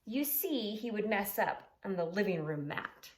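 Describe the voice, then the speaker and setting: Expressive woman teacher with lively pitch. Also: measured pace, slight background noise. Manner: explains simply, with emphasis and rhetorical questions. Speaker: elementary school teacher. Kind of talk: children's lesson and expressive storybook read-aloud.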